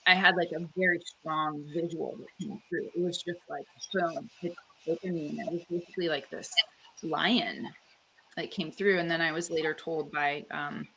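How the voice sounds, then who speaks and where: A woman's voice: sits low, steady tone, normal speed, gravelly, pushed and bright, low-quality recording. A woman from Canada, a guest author, talking reflectively in a casual interview, with lots of "like" and "um" fillers. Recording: faint background noise.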